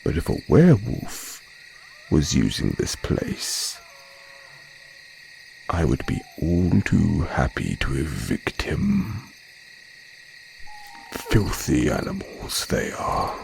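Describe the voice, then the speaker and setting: A man voicing a haughty, courteous werebear with predatory charm, in an audio roleplay, speaking in a drawn-out, genteel, condescending way. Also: a bass voice, huge pitch swings, gravelly, darkish tone, slight background noise, full character voice. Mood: contemptuous. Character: smooth, haughty male werebear, courteous yet predatory flirt. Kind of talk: audio roleplay monologue addressed to listener.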